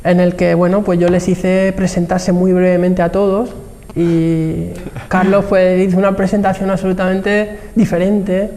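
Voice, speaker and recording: A man with a Spain Spanish accent speaks in a high, fairly even voice with some gravel in it. He explains things conversationally as an interview guest, backing up to rephrase. The room rings with long reverb. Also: measured pace, few pauses. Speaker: guest expert, marketing professional and trainer. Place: TV programme set, indoors. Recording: reverberant hall-like room, faint background noise.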